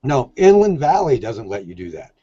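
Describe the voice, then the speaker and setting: A man's voice: mid-range voice, very animated, normal speed, mellow, slightly rough, no echo, lo-fi sound. A man talking casually as an interview guest, telling stories in a plain-spoken, earnest way. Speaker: podcast guest, shelter dog volunteer.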